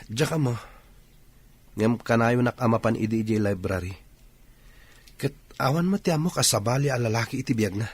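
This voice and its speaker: A man's voice: medium pitch, lively intonation, normal speed, long pauses, husky, no echo. A man playing a hesitant, defensive young student in a radio drama. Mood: troubled. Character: hesitant, doubting young male student facing fatherhood.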